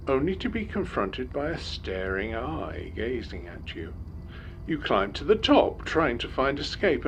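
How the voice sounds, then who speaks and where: An actor playing a grave male scholar, telling an eerie parable in a measured, dramatic audio-drama reading. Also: mid-range voice, background noise.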